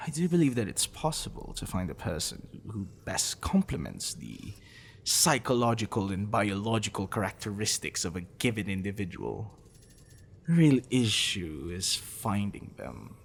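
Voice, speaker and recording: Casting: male actor as possessive mad professor for ASMR roleplay, pedantic and smitten, low pitch with very animated inflection. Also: gravelly, slight background noise. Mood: lecturing, smitten. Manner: pedantic, wordy, teasing, with musing pauses. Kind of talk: intimate ASMR roleplay monologue.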